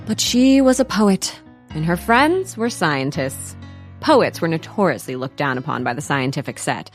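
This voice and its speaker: A woman's voice: deep voice, very animated, moderate pace, faint music behind. A woman playing an arch, theatrical narrator of a scripted comic story. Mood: arch, storytelling.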